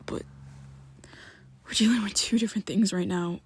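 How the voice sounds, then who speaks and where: A man voicing a young vampire who is his listener's best friend, speaking in a soft, breathy half-whisper with hesitant restarts, in an ASMR roleplay. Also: high-pitched, fairly even intonation, moderate pace. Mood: pleading, defensive. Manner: hesitant, stumbling restarts, pleading.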